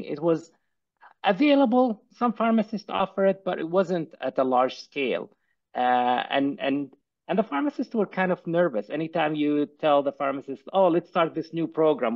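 A man whose first language is Arabic talks earnestly about his work as a pharmacist, often stumbling and repeating words as he goes. His voice carries a gravelly edge, and the sound is dull and lo-fi, coming through a headset on a video call. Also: medium pitch. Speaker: pharmacist and pharmacy owner, guest. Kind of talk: conversational interview. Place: office.

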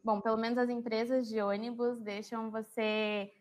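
A woman's voice: a little high, normal speed. A young woman with a Brazilian Portuguese accent, a university student chatting casually about her experience on a video call. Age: young adult. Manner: relaxed, chatty, uses fillers like tipo. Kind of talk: casual panel chat.

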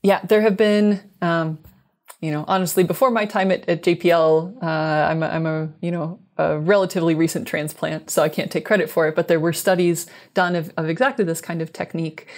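A woman's voice: deep voice, fairly even intonation, a little fast. A woman in her thirties speaking as a guest scientist on a video call, explaining technical matters carefully and correcting herself as she goes. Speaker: guest scientist, exoplanet researcher. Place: small indoor room, video call. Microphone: wired earbuds headset on a video call.

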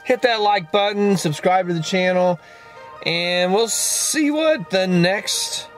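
A man's voice: sits high, slow pace, soft background music, rough recording. A man in his fifties chatting casually to a vlog in a rambling, wry, self-deprecating way, recorded in a car. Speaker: vlogger. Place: inside a parked or moving car.

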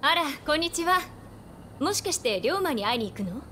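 A woman's voice: high-pitched, sing-song, brisk, bright, forceful tone, slight background noise, exaggerated voice. A woman playing a spirited, caring young woman of old Japan in a video game, with a familiar, plain-spoken feminine manner. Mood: friendly, concerned. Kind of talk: video game cutscene acting.

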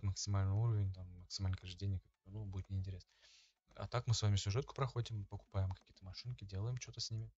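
A man giving casual let's-play commentary as a gaming host, talking informally and slangily as he thinks aloud. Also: on the low side. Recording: dull lo-fi microphone sound.

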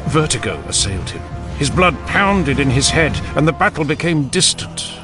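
A man narrating a dramatic fantasy audiobook in a grave, deliberate manner. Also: a little high, very animated, over music.

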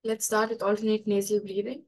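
A woman's voice: mid-range voice, flat delivery, fairly quick, darkish tone. A young woman with an Indian accent giving guided yoga and meditation instructions. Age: young, student. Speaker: student leading yoga and meditation. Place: bedroom at home. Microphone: video call, device a little away.

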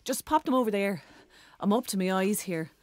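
An actress playing a busy, fussy hotel woman in a children's audio story, with a brisk, expressive delivery. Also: mid-range voice, close to an everyday voice. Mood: harried.

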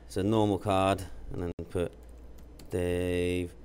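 A man, talking matter-of-factly through steps in an informal software tutorial. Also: low-pitched, flat delivery, takes it slowly. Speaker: presenter of product tutorials. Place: indoor office. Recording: clear background noise.

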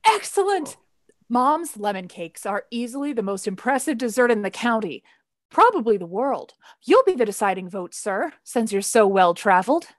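A woman's voice: on the high side, big pitch swings, moderate pace, no room sound, studio quality. A woman acting in a radio play as a playful, teasing grown son of the family. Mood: enthusiastic. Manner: teasing, exuberant.

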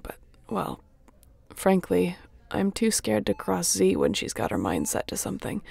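A woman playing a battle-worn, tender and wry spaceship captain in an intimate audio roleplay, speaking softly with sighs and a breathy edge. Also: on the low side, heavily acted. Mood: playful, relieved. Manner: soft, sighing, emotionally open.

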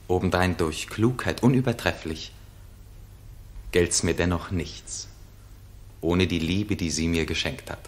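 An actor playing an ardent, devoted young prince in love, with lofty stage diction, in a 1960s German radio play. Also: male voice, deep voice.

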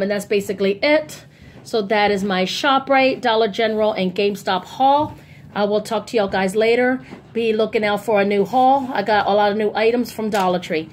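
A woman's voice: medium pitch, slight background noise. A woman chatting casually and informally in a rambling style, as a vlogger showing off her shopping. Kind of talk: casual haul vlog chat.

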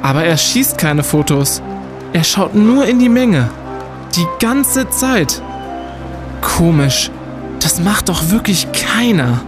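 A man's voice: high voice, sing-song, slow, pauses often, over music, exaggerated voice. A man playing an indignant, pedantic young student detective in a radio play, speaking emphatically. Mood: pedantic, curious. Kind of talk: scripted radio play acting.

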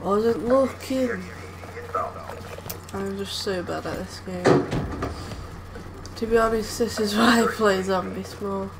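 A woman talking casually over gameplay, soft-spoken and hesitant, with lots of ums and groans. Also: medium pitch, slow, long pauses. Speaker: gameplay commentator. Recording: loud background noise from the game. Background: game sounds.

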